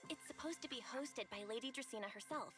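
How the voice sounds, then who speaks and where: Woman acting high-voiced earnest young heroine. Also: fairly quick, lightly acted. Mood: eager. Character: earnest, eager young woman schemer in a sci-fi game. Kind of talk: scripted video game voice acting. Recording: game music behind the voice. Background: background music.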